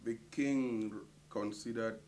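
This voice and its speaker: A middle-aged man from Cameroon, a community association treasurer, answering interview questions with many hesitant pauses. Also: mid-range voice, steady tone, darkish tone.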